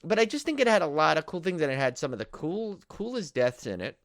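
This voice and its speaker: A man co-hosting a horror movie podcast, talking casually and with enthusiasm in a halting, rambling way as he keeps correcting himself. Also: a little high, big pitch swings, no echo, studio quality. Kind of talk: casual film discussion.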